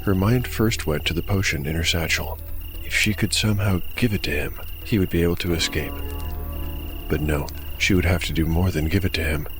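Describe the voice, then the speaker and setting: A man with a deep voice tells a dark fantasy tale as host and narrator of a tabletop audiodrama. His delivery is scripted, measured and deliberate. Soft music plays behind him.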